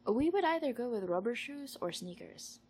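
A woman's voice: fairly high pitch, very animated, moderate pace, darkish tone, slightly rough. A woman from the Philippines with a Filipino accent, talking in a playful, informal, self-aware way as she chats and reads aloud. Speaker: amateur audio recorder doing an accent challenge. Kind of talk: casual chat, reading questions and word lists aloud.

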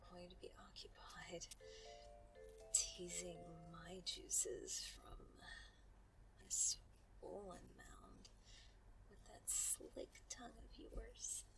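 A woman playing a seductive, dominant yet tender lover in an intimate adult audio roleplay, with a breathy voice. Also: fairly high pitch, low-quality recording.

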